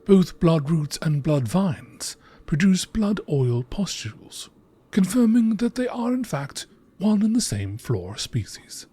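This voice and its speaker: A man reading scripted lore in a nature documentary narration style, with measured, deliberate phrasing. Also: sits high, big pitch swings, mellow. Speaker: video creator reading game lore aloud. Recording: clearly noisy background.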